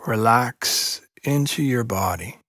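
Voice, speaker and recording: Slow, breathy male meditation guide. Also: medium pitch, no room sound, clean studio sound. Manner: slow, hushed, soothing, measured instruction with long pauses. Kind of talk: guided yoga nidra sleep meditation.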